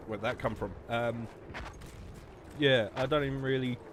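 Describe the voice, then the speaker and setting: A man's voice: mid-range voice, wildly swooping pitch, slow, heavy background noise. A man in his late twenties with a southern English accent, chatting casually and sarcastically as he livestreams a game. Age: late 20s. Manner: sarcastic, swearing banter. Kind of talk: casual rambling chat while gaming.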